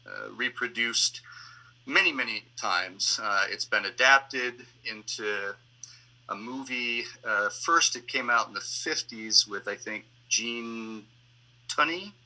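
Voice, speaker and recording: A man answering interview questions on a video call as a theater director, explaining things in a halting, reflective way. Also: medium pitch, bright, forceful tone, low-quality recording. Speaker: theater artistic director and actor, panel guest. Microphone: video call microphone.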